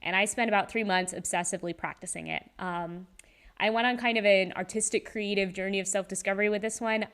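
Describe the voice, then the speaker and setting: Woman, casual and talkative, smooth resonant tone, holding a handheld mic. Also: mid-range voice, fairly even intonation, fast, fairly bright tone. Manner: chatty and rambling, many ums, laughs often. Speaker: singer and voice actor in a fan musical. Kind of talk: casual livestream Q&A chat. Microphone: handheld microphone.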